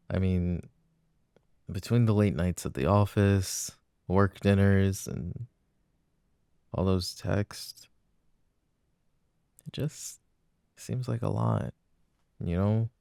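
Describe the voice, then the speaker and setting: Man acting a deep-voiced, loving but jealous boyfriend. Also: animated, vocal fry, mellow, smooth, no echo, clean studio sound, exaggerated voice. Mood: uneasy, careful. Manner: hesitant, halting, intimate. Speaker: voice actor. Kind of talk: boyfriend roleplay ASMR.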